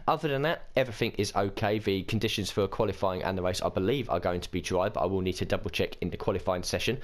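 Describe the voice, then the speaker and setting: A man hosting a racing game let's-play, chatting casually and thinking aloud, his voice croaky and hoarse from a cold. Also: low-pitched, quick pace. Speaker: gaming channel host.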